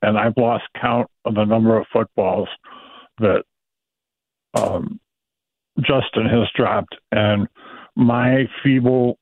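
A man's voice: fairly low pitch, steady tone, slow, long pauses. A male caller, speaking wryly and self-deprecatingly on a radio call-in show. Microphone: telephone. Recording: tinny phone line.

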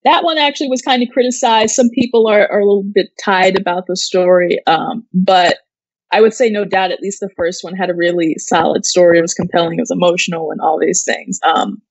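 A woman's voice: fairly low pitch, steady tone, brisk, no echo, rough recording. A woman co-hosting a casual chat show, explaining informally and thinking aloud as she goes. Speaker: co-host of an entertainment news live show.

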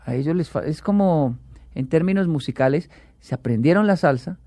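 A man with a gravelly, creaky voice, chatting as a guest on a talk show. He tells his stories with energy and acts out what others said. His voice sits fairly high for a man, with a dark, mellow tone. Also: moderate pace, no room sound. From Colombia. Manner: animated storyteller, acts out quotes. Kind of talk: casual chat.

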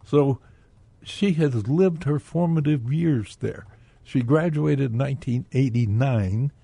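Gravelly, husky man, radio guest. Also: mid-range voice, takes it slowly, dark tone, no room sound. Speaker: guest, bank director. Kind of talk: radio interview.

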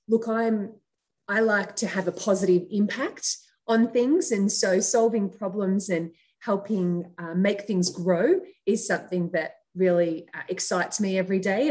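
A woman with an Australian accent, a company founder speaking conversationally as an interview guest. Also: mid-range voice.

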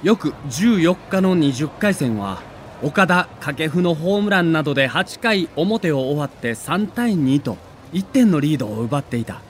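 A man's voice: fairly high pitch, animated, a little slow, slight background noise. A man delivering dramatic scripted narration of a sports documentary, with theatrical, emotive storytelling in the role of a reporter.

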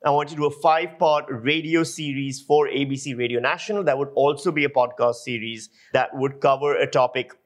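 A man's voice: mid-range voice, a little fast, few pauses. A man from Pakistan giving an informal instructional talk as a journalism lecturer, explaining emphatically and posing rhetorical questions.

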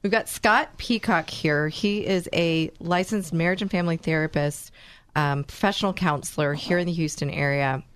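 A woman with a Texan accent hosting a radio talk show, chatty and quick to laugh. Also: low voice, clean tone, no echo. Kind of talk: casual radio chat.

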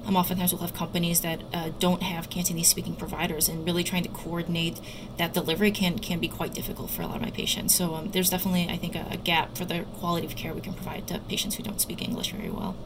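A woman doctor and researcher giving careful, somewhat halting explanatory answers as an interview guest. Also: lowish voice. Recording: loud background noise.

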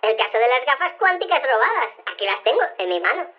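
Tiny cheerful fellow, very high squeaky voice, swooping intonation, repeats words eagerly, audio comedy, muffled line. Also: male voice, sing-song, fast. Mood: excited, cheerful. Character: small-voiced, happy man regrowing his exploded head. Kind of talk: audio fiction comedy acting. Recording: muffled thin phone-like line.

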